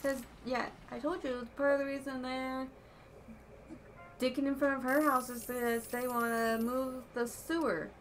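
A woman's voice: sits high, little pitch movement, normal speed, background noise. A woman chatting casually and telling stories while playing a board video game with her partner. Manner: chatty, giggly, storytelling. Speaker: co-player. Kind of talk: casual gaming chat. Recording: game music underneath.

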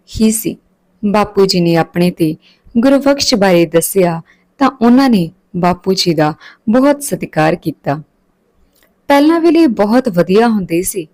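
A woman's voice: medium pitch, darkish tone, rough recording. A woman reads a scripted family story as a storyteller, narrating and voicing the dialogue.

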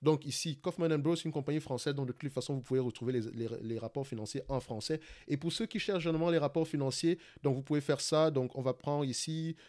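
A man with a Cameroonian accent talks fast through a live screen-recorded stock analysis. He often searches for words and restarts his sentences. He keeps checking in with his listeners as he goes. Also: a little high, darkish tone. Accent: Cameroonian French. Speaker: investing channel host.

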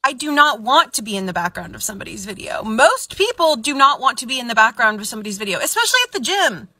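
A woman's voice: on the high side, big pitch swings, fast, pushed and bright, husky, no room sound. A woman in her twenties vlogging from a parked car on her phone, emphatic and full of "like" fillers. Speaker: social media creator. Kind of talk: casual phone vlog rant. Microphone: phone held close.

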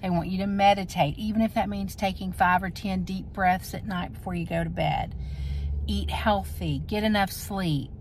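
A woman's voice: mid-range voice, moderate pace. A woman in her forties chatting casually to camera as a vlogger, inside a moving car. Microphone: phone at arm's length. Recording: loud car road noise.

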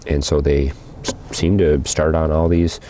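A man casually narrating as he cleans out an old hive outdoors, thinking aloud with small pauses. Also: very deep voice, little pitch movement, mellow, slight background noise. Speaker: hobby beekeeper and channel host. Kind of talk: casual narration while working. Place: outdoors beside a wooden beehive.

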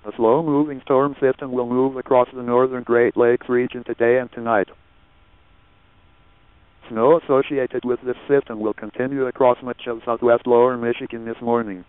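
A synthetic man's voice reading a weather synopsis in a mechanical monotone over narrow radio sound. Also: mid-range voice, normal speed, clean tone, no echo. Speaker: automated weather radio announcer. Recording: narrow, phone-like radio recording.

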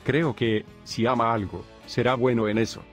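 A man narrating a scripted list of tips in an even, formal reading tone. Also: medium pitch, normal speed. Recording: faint guitar music behind.

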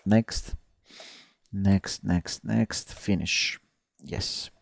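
A young man from Croatia, a native Croatian speaker, talking through a software screencast step by step with frequent "uh" fillers. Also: low-pitched, fairly even intonation, very slow, gravelly, dry recording, clean studio sound. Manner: hesitant, many "uh" fillers, step-by-step narration. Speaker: software tutorial presenter. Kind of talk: screencast tutorial.

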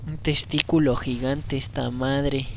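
A man's voice: medium pitch, fairly even intonation, slow, slight background noise. A young man with a Mexican accent commenting casually on a game he plays, swearing and joking at his character. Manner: crude, swearing, jokey, talks to the character. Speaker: gamer. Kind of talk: casual let's play commentary.

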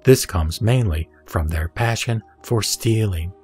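Measured man reciting scripture over music. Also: lowish voice, darkish tone, breathy edge. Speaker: sutra reciter and narrator. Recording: background music under the voice.

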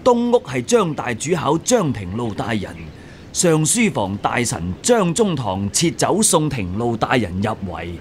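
An actor playing an officious, fawning exam-hall guard in a radio drama. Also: male voice, mid-range voice, wildly swooping pitch, unhurried, slight background noise. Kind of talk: Cantonese radio drama acting.

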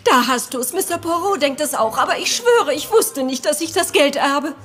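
An anxious, indignant lady in a retro crime radio play. Her voice is fairly high and swings widely in pitch. She is dramatic and emotional, with faint music underneath. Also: lively intonation, normal speed, a bit bright. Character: anxious, indignant English lady in a family inheritance mystery. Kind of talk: retro German detective radio play acting.

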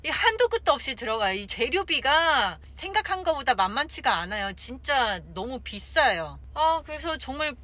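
A woman's voice: high voice, sing-song, moderate pace, few pauses, sharp tone. A woman in her thirties chatting casually to a handheld phone camera, exclaiming and sighing as she talks. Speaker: vlogger. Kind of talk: casual vlog chat. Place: car interior and shops. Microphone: phone camera at arm's length.

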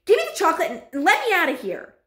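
A greedy, indignant storybook boy, played by a woman, blunt and petulant. The voice is raised in pitch and loud, in a slightly echoey room. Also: huge pitch swings, unhurried, exaggerated voice. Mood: angry, suspicious. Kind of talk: children's storybook character.

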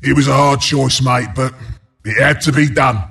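Shouting, raspy British porcupine brute. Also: male voice, high voice, very animated, takes it slowly, full character voice. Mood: mock sympathy. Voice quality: gravelly, raspy. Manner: taunting, rowdy, blunt, mock-sympathetic asides. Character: mean, cocky, brawling porcupine beast announcer. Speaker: game announcer. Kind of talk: video game announcer barks.

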